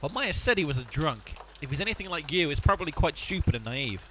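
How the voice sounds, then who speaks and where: An actor playing the exasperated, sensible half of a comic duo in an amateur audio drama, bossy and long-suffering. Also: male voice, a little high, big pitch swings, quick pace, sharp tone, slight background noise.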